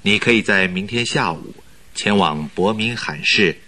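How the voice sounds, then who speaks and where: An actor in a Mandarin radio drama playing a polite, flattering company recruiter who talks in a measured, persuasive way. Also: male voice, lowish voice. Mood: coaxing. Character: smooth-talking male company recruiter, flattering, persuasive schemer.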